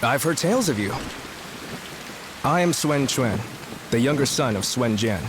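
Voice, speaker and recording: A man's voice: medium pitch, animated, measured pace, noisy background, close to an everyday voice. A young man playing the earnest, worried younger son of a general in a video game cutscene, speaking politely. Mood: concerned.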